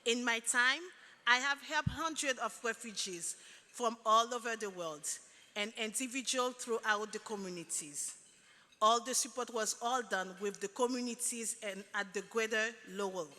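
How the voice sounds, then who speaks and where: Woman from Haiti, gala co-emcee, bright and fairly high, ballroom reverb, faint noise. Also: moderate pace. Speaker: program manager and co-emcee. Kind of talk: scripted gala introduction. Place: large ballroom.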